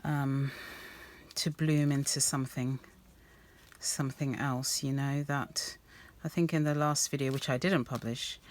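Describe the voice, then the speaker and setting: A woman with a low voice talks softly while she crafts, drifting through thoughts with ums and restarts. Her voice sounds a little croaky. A faint hiss sits under the recording, with quiet pen scratches. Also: steady tone, measured pace. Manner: rambling, soft-spoken, many ums and restarts. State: slightly croaky voice. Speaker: art journaling vlogger. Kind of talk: casual craft-along chat.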